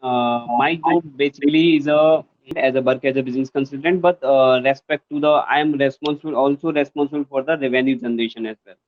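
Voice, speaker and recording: A man's voice: mid-range voice, steady tone, fast talker, hardly any pauses, lo-fi sound. A young man from India with an Indian accent, learning English and talking hesitantly with many fillers. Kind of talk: casual conversation lesson.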